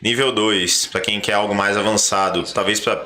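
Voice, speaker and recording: A man's voice: on the low side, fairly even intonation, moderate pace, pushed and bright. A man with a Brazilian accent hosting a podcast, explaining things in a conversational way. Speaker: podcast host.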